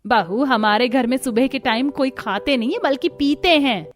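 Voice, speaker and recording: Woman doing cartoon older mother-in-law, always scolding, highish voice. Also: moderate pace, close to an everyday voice. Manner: nagging, idiom-heavy scolding.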